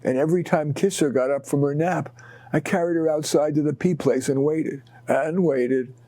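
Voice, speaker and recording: A man reading his short stories aloud expressively, in deliberate short phrases with dramatic pauses. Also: a little high, dark tone, dry recording. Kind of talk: expressive read-aloud storytelling.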